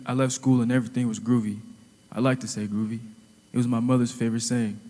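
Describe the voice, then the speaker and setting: Man playing a flat-toned, dying teenage student. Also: medium pitch, fast talker, natural voice. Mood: wistful. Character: dying high school senior, male, bewildered and wistful. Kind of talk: audio drama monologue. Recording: long reverberant hall effect.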